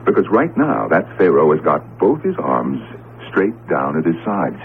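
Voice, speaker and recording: An excitable, coaxing husband in a 1970s radio drama. His gravelly, low voice leaps about dramatically as he stammers a little, on a narrow old broadcast recording. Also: moderate pace, slight background noise, full character voice. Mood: bewildered. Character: eager, boyish husband, a professor of Egyptian history.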